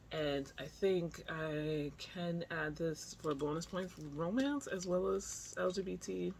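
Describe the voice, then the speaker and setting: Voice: medium pitch, a bit bright. A middle-aged vlogger talking casually and candidly in a chatty, informal video diary. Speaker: book vlogger. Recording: clear background noise, room ambience.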